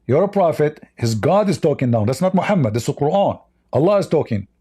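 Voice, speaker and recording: A man's voice: a little high, sing-song, a little fast, mellow, breathy edge. A man who is a non-native English speaker, debating religion in an argumentative, repetitive way and cutting in on the other speaker. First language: not English.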